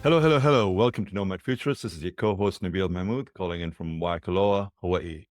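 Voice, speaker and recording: A man co-hosting a podcast, welcoming a guest and asking measured questions. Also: low voice. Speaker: podcast co-host. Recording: intro over clear music.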